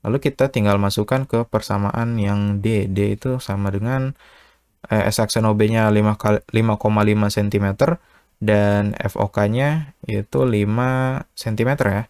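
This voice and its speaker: A methodical male tutor with a fairly low voice, explaining one step at a time. His voice-over sounds close and dry, with no room echo. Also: fairly even intonation, moderate pace, a little mellow, smooth. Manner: patient, methodical, with filler "eh" and "ya". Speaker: online tutor. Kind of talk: step-by-step physics problem walkthrough.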